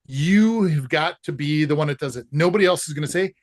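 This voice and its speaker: A man's voice: a little high, brisk, dry recording, studio quality. A man guesting on a podcast, talking in an upbeat, emphatic, motivational way. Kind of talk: casual interview.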